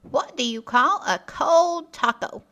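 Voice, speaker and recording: A woman reading a children's story aloud in a playful, expressive way, speaking directly to her listeners as narrator and host. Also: high-pitched, takes it slowly, heavy background noise. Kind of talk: animated read-aloud storytelling.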